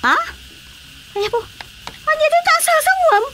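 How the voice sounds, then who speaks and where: An actress with an Ilocano accent playing a startled, protesting wife, exclamatory and shrill, in a radio drama. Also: female voice, animated, normal speed, heavy background noise. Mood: alarmed. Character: startled, protesting wife who dotes on her cat.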